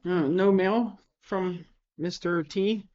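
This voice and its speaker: A woman's voice: on the low side, fairly even intonation, takes it slowly, darkish tone, muffled recording. A woman in her fifties co-hosting a casual podcast chat, teasing and blunt, swearing freely. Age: 50s. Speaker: podcast co-host.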